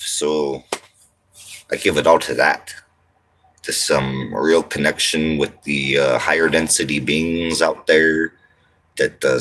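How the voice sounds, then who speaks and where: Deep slow guy from montana doing a chill livestream in his rv, slangy and rambling. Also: a bit bright. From Montana, United States. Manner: laid-back slangy talk, drawn-out and rambling. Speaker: livestream host. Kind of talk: casual livestream chat reading comments.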